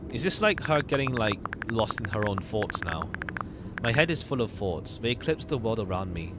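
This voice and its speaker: A man's voice: on the low side, heavy background noise. A man reading visual novel text aloud as a game streamer, adding the odd aside in his own words. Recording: loud jazzy game music behind. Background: jazzy retro game background music.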